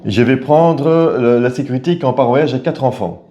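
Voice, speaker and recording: A middle-aged man chatting casually with his family's viewers. He speaks at an ordinary pitch and explains things patiently, one step at a time, in a relaxed way. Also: moderate pace, dull audio. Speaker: family vlogger. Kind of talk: casual chat to camera.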